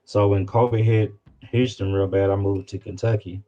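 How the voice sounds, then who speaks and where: Deep-voiced Louisiana man in casual chat. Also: fairly even intonation, warm tone, muffled recording. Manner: halting, circles back and repeats phrases. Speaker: guest rapper.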